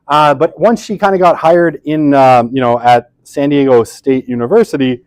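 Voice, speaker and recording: A man's voice: mid-range voice, a little mellow, dry recording. A man in his thirties giving a casual university lecture in an old lecture room, talking into a podium microphone that barely picks him up, chatty and self-deprecating, with many fillers. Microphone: podium microphone, weak pickup.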